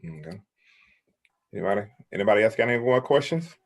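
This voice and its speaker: Male host, lowish voice, relaxed, chatty. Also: quick pace, gravelly. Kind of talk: casual webinar hosting.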